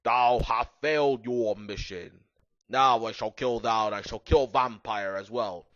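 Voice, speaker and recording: A man voicing an evil, menacing dark wizard villain with a raspy voice and archaic speech, in a theatrical story reading. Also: medium pitch, measured pace, no room sound, dull audio, natural voice. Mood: cold threatening. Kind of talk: theatrical fan-fiction reading.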